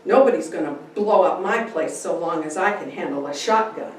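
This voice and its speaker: A woman's voice: lowish voice, animated, moderate pace, close to an everyday voice. A woman playing a fearless, commanding frontier woman in a dramatic story reading. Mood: defiant. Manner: firm, commanding. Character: fearless, defiant, calm-headed frontier woman Kitty. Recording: roomy echo, distant mic, faint noise.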